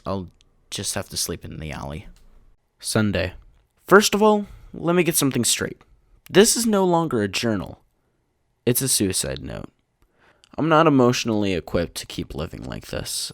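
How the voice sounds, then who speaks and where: A man's voice: lowish voice, no room sound, clean studio sound, natural voice. A man playing a bitter, despairing man in his late thirties who narrates his diary in an anguished, self-loathing audio drama style.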